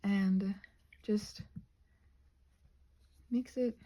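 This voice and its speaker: A woman chatting in a rambling, halting ASMR style, soft-spoken and often slipping into whispers. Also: medium pitch, steady tone. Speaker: ASMR creator rambling while painting a craft project. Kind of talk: ASMR rambling casual chat.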